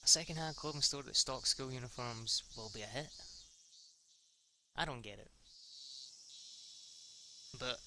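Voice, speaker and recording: A man reading a visual novel aloud in a halting, informal, sweary way, with casual asides. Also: mid-range voice, noisy background. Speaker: amateur reader of a visual novel.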